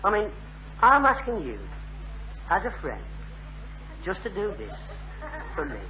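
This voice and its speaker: A young working-class chancer from an old radio sitcom, with a North East English accent. He pesters and cajoles in a high, swooping voice. The recording is thin and tinny, with some hiss. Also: wildly swooping pitch, measured pace, exaggerated voice. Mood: pleading, insistent. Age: young adult. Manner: persuasive, pestering, chatty. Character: cajoling, cheeky young working-class brother, full of schemes.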